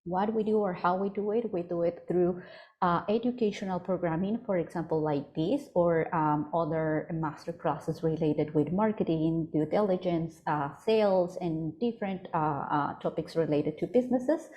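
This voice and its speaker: A woman's voice: lowish voice, normal speed, dark tone, a little hiss or hum. A woman from Ecuador with an Ecuadorian Spanish accent, presenting a webinar on a video call, hesitant with many fillers and self-corrections. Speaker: project coordinator presenting a webinar. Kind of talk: virtual presentation. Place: home office in Vancouver.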